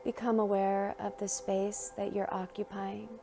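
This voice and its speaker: Slow, mellow woman guiding a meditation. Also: medium pitch, little pitch movement, creaky, faint music behind. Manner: soothing, gentle, unhurried with long pauses. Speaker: guided meditation narrator. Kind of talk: guided meditation, slow scripted narration.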